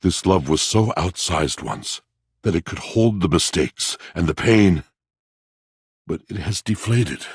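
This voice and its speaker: Game role: aging lovelorn washing machine. Slow, theatrical, gravelly bass, very dry close sound. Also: male voice, no room sound. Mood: mournful, resolute. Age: older. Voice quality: deep, gravelly. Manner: theatrical, solemn, flowery, drawn-out delivery. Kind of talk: video game character acting.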